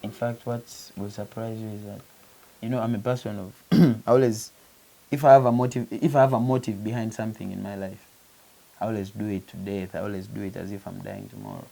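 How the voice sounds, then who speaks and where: Earnest Ugandan musician, gravelly voice. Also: male voice, lowish voice, steady tone, brisk, dark tone, low-quality recording. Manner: earnest, repeats and restarts phrases, says "you know" often. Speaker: musician, interview guest. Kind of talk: casual interview talk. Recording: faint background hiss.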